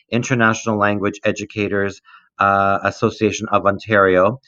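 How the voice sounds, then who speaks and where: A middle-aged man giving an informal online presentation as an education director, explaining things in a measured, hesitant way. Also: on the low side, fairly bright tone. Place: indoors, recorded remotely for an online conference. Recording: roomy, dull lo-fi online recording.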